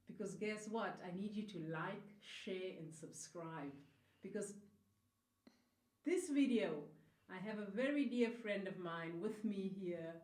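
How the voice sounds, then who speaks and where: A woman hosts her own online channel, welcoming a guest and guiding the conversation. She sounds upbeat and encouraging, with a mellow voice. The room adds a noticeable echo. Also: medium pitch. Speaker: channel host. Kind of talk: interview hosting.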